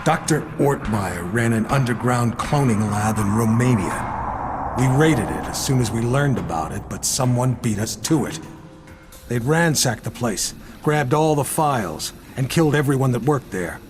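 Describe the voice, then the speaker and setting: An actor playing a grim, authoritative former agency chief in a video game, speaking deliberately. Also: male voice, medium pitch, lively intonation, music in the background, lightly acted. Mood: grave, recounting. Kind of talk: video game cutscene acting.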